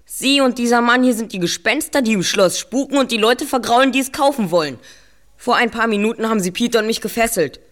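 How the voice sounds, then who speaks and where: A woman's voice: on the high side, animated, fairly quick, close to an everyday voice. A woman playing a clever, self-assured boy detective in an audio drama, precise and explanatory. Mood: accusing.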